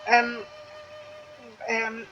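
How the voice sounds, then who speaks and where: A woman's voice: fairly high pitch, monotone, extremely slow, dull audio. A woman from Poland giving casual commentary as she plays a game for her video. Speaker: gaming video host.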